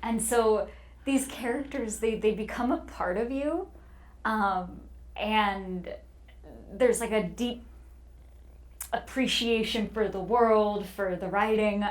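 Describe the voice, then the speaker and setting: A woman's voice: medium pitch, slow, long pauses. A woman talking casually and expressively as a voice actor guest on a panel. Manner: animated, expressive.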